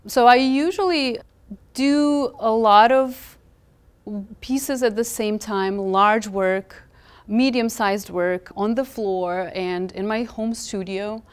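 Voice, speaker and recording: A woman from Croatia speaks English with a Croatian accent, her voice clear and resonant at an ordinary pitch. She talks reflectively, with many fillers and soft pauses. She is an artist being interviewed in a gallery. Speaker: visual artist. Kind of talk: reflective interview talk about her art. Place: gallery, indoors, in front of artworks.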